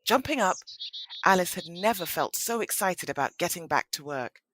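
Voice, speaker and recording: Expressive, lower-pitched woman narrator. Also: moderate pace, no echo, low-quality recording. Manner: expressive, dramatic storytelling. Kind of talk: scripted storybook narration for audio play.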